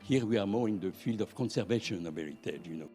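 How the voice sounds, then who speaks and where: A man's voice: lowish voice, lively intonation, quick pace, warm tone, faint music behind. A man explaining his advocacy work, stressing key words. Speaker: heritage advocate.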